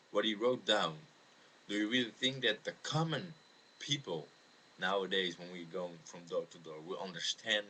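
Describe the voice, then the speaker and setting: Low-voiced Dutch man, bright and forceful. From the Netherlands. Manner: halting, earnest explaining. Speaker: amateur video maker answering a viewer's question. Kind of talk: unscripted spoken video response on religion. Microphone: webcam microphone. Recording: noisy home webcam recording.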